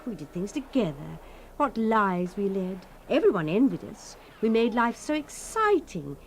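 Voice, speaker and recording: A woman's voice: medium pitch, very animated, unhurried, slight background noise. An actress playing a clinging, melodramatic wife in her thirties in a radio drama, pleading and sighing theatrically. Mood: wistful, nostalgic.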